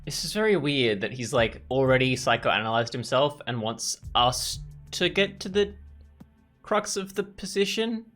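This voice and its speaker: A man's voice: mid-range voice, animated, normal speed, fairly bright tone, faint music behind. A man giving casual gaming commentary, thinking aloud and reading game text as he goes. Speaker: gaming YouTuber.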